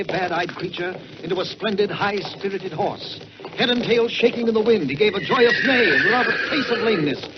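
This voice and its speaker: An American man narrating in a dramatic 1940s radio style, with measured, theatrical delivery and drawn-out words. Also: high voice, noisy background. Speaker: storyteller and radio host. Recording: dull vintage 1940s radio, music behind.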